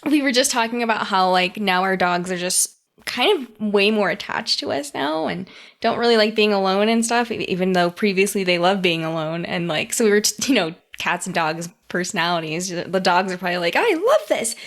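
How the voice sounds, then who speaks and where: Chatty American woman with mid-range pitch. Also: lively intonation, a little fast. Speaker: podcast co-host. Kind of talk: casual chat.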